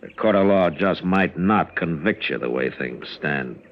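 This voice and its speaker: A very low-voiced, stern lawman of the frontier gives terse orders with full authority. The sound is a muffled, noisy old radio western. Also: expressive, measured pace, full character voice. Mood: stern accusing. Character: stern, commanding frontier US Marshal.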